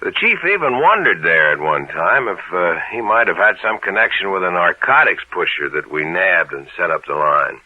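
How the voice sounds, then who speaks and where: Deep folksy American police sergeant. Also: male voice, very animated, moderate pace, few pauses, dry recording. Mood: wry, suspicious. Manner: folksy, casual, matter-of-fact. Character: gruff, folksy, plain-spoken male police sergeant. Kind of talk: old-time radio detective drama acting. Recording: narrow, tinny old radio recording.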